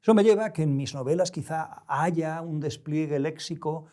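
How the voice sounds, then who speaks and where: An older man with a European Spanish accent speaking reflectively in an interview, deliberate and halting, choosing erudite words with care. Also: on the high side, dark tone. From Spain. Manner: deliberate, halting, with erudite careful word choice. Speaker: interview guest, novelist.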